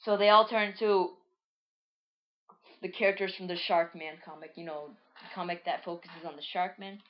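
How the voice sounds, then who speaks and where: A woman with a slightly low voice chatting through her old comic in a loose, rambling way, often stopping to correct herself. The sound is a little dull and lo-fi, like a simple home recording. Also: fairly even intonation, moderate pace, slight background noise. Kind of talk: casual reading and commentary.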